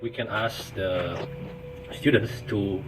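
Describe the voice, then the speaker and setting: A man from Bandung, speaking haltingly and searching for words in English. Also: fairly low pitch, fairly even intonation, over music, poor audio. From Indonesia, Bandung. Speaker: interviewee.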